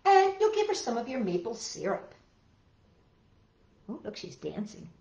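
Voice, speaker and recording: Woman storytime host for young children, high lively voice, exclaims and chats to listeners between lines, roomy sound. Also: unhurried. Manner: animated, exclaiming, addressing children directly. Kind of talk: read-aloud storytelling for young children with chatty asides. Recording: roomy, dull lo-fi sound, faint music.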